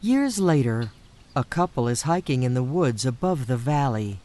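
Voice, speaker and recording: A man's voice: medium pitch, very animated, normal speed, a little mellow, slight background noise. Theatrical male radio drama narrator. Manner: theatrical, ominous. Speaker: narrator and announcer. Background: music.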